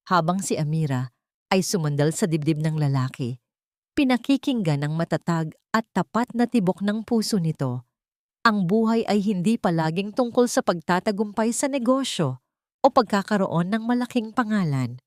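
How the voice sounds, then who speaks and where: Low-pitched woman narrating a sentimental story, dry sound. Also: darkish tone, no room sound. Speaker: audio drama narrator. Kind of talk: scripted dramatic story narration.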